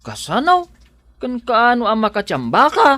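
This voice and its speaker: A woman's voice: fairly high pitch, huge pitch swings, moderate pace, fairly bright tone, no room sound. A woman with a Filipino accent voicing a simple, playful villager in an audio drama, drawling and bantering as she teases back. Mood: mocking. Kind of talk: Ilocano audio drama acting.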